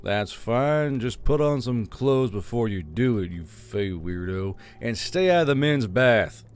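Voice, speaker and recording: A man's voice: medium pitch, expressive, measured pace, clear, resonant tone, soft background music, natural voice. A man voicing an exasperated, grumbling military commander in an audiobook, dry and scolding. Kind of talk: audiobook character voice.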